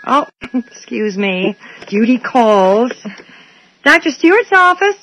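A woman playing a brisk, officious but polite dental receptionist in a 1980s radio drama. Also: a little high, big pitch swings, a bit bright, exaggerated voice. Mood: cheerful, welcoming. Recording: dull old radio recording.

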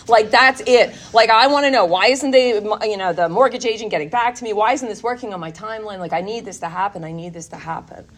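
A woman's voice: medium pitch, fast talker, few pauses. A woman speaking candidly and emphatically at a recovery workshop, giving a personal testimony talk to an audience.

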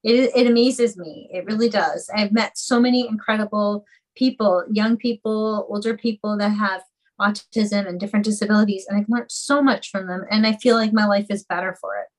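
A woman's voice: mid-range voice, fairly even intonation, moderate pace, low-quality recording. Earnest woman sharing her story. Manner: earnest, heartfelt, talks in long flowing runs. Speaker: podcast guest, mother and singer. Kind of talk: personal interview conversation.

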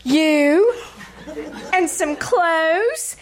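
A woman's voice: extremely high pitch, expressive, drawn-out words, heavily acted. A woman playing a nosy, tattling kid sister in a 1940s-style radio comedy. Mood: coy, tattling.

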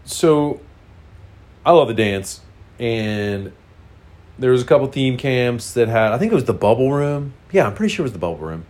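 A man's voice: mid-range voice, very animated, normal speed, darkish tone. A man with a congested, nasal voice, casually telling a frank, rambling personal story full of fillers.

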